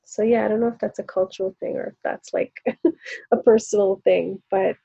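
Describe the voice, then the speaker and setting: Gravelly, dark-toned South African woman. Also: mid-range voice, fairly even intonation, normal speed, highs cut off. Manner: rambling and reflective, many fillers. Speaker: podcast co-host. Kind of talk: casual chat.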